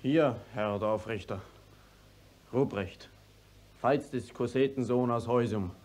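A man's voice: mid-range voice, takes it slowly, a little mellow, a little hiss or hum, natural voice. An actor playing a stubborn, plain-spoken young peasant who tells his story at length in a radio play. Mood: defiant. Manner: rustic, stubborn, long-winded storytelling. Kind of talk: classic German stage radio drama acting.

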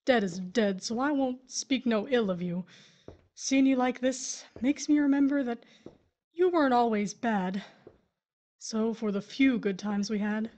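Casting: actress as weary, grieving wife in a horror drama, high voice, slow and hesitant. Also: female voice, dark tone, close to an everyday voice. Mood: bitter, resigned. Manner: slow, hesitant, distraught delivery. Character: grieving, weary widow of an abusive husband. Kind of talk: horror audio drama acting.

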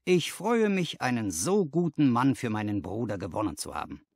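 A man's voice: medium pitch, very animated, normal speed, husky, no echo, clean studio sound. A man voicing an effusive, persuasive businessman in a story, emphatic and a little patronising. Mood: hearty. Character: brisk, effusive businessman recruiter, flattering and persuasive.

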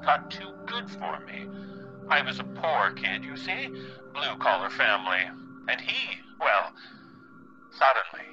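A man's voice: medium pitch, highs cut off. A middle-aged man playing a sinister, murderous father in a horror audio drama, theatrical and mock-folksy, speaking through a radio-like filter. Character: gloating, murderous dead father on a tape recording. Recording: band-limited tape-voice sound over music.

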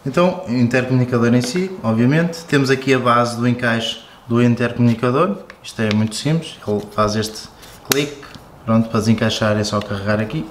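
A man's voice: mid-range voice, fairly quick, a little hiss or hum. A man with a European Portuguese accent gives a casual, informal, explanatory product review and walkthrough. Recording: roomy echo with music behind. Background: background music.

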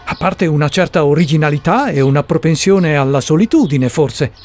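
Actor as high-voiced, puzzled male questioner. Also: moderate pace, darkish tone, lightly acted. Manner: formal, probing, methodical. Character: persistent, puzzled male questioner seeking logical sense. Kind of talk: Italian radio drama acting.

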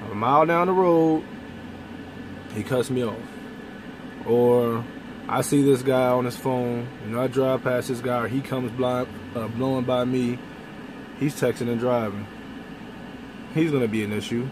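Young male trucker, blunt talker, phone-recorded in the cab with steady road noise. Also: medium pitch, unhurried, long pauses. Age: young adult. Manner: blunt, animated, with mild cursing. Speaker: truck driver vlogger. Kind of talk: casual vlog monologue. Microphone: phone camera close up. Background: vehicle and air-conditioning hum.

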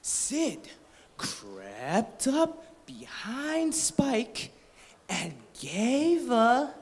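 A teenage boy from Thailand narrates a children's story in a measured, expressive way. His pitch moves a lot and his pace is very slow. A long reverb from the hall trails each phrase. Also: a little hiss or hum. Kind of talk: readers' theatre storybook reading. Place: large indoor hall stage. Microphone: stand mic.

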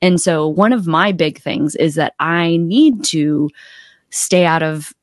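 An American woman talks casually, sharing advice as a guest on a podcast. Her pitch is a bit on the low side. She is close to the microphone, with a very dry sound. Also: slow pace, no room sound. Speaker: podcast guest, accountability coach. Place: home room indoors, video call.

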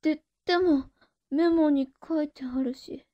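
A woman's voice: sits high, measured pace, dry recording, dull audio. A woman playing a naive, eager young witch girl, earnest and awkwardly half polite, half boyish, in a comic anime voice drama. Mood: unsure.

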